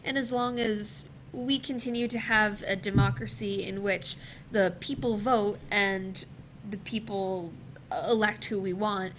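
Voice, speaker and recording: Young female student panelist, medium pitch, earnest delivery in long sentences, some background rumble and noise. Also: fairly bright tone, rough recording. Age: teens to young adult. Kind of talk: panel discussion answer.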